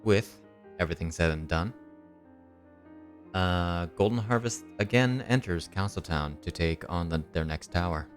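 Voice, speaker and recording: Deep-voiced, slightly nasal man running the game. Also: measured pace. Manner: rambling with many ums and uhs. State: recovering from illness and tooth surgery. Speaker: dungeon master. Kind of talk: streamed tabletop game hosting and recap. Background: soft background music.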